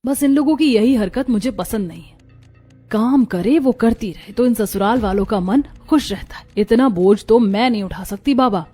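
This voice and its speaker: A woman's voice: on the high side, normal speed, warm tone, breathy edge, faint music behind. Snobbish, haughty rich young wife played by a woman. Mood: scornful. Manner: snobbish, curt, complaining. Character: haughty rich elder daughter-in-law, scornful and lazy. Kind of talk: Hindi moral cartoon story dialogue.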